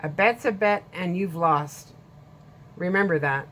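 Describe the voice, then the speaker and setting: Woman doing a plain-spoken man storekeeper, lower voice, faint hiss. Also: unhurried, a bit bright. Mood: matter-of-fact. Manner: plain-spoken, matter-of-fact. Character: blunt, friendly middle-aged male island storekeeper.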